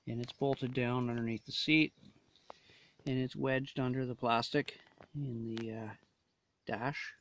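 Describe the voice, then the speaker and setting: A man's voice: medium pitch, fairly even intonation, measured pace, pauses often. A man casually demonstrating gear as a reviewer, talking in short, hesitant phrases. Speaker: gear reviewer showing a radio install.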